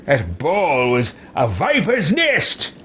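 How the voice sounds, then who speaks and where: A man roleplaying an arrogant, abrasive nobleman adventurer in a fantasy game, speaking slowly and pompously. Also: on the high side, wildly swooping pitch, a bit bright, a little hiss or hum, heavily acted. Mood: haughty scorn. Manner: slow, pompous.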